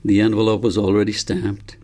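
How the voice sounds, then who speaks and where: A man's voice: on the low side, completely flat delivery, a little fast, darkish tone. A man narrating his own novel as a storybook reading.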